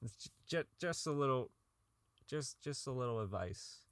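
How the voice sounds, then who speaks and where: A man's voice: mid-range voice, animated, moderate pace, no room sound, studio quality, lightly acted. A man playing a socially inept, unravelling robot designer in an audio drama log, rambling, stammering, swearing and repeating himself. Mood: proud, salesmanlike.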